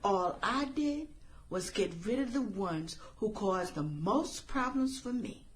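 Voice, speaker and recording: A woman acting a bitter, vengeful former outcast turned killer in a radio drama, sarcastic and theatrical with drawn-out phrasing. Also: medium pitch, animated, slight background noise. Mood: mocking.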